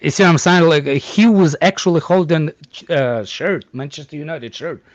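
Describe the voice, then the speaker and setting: A man whose first language is Russian, talking bluntly in halting English during a casual group chat, teasing the others. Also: a little high, dry recording, dull audio. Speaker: panelist.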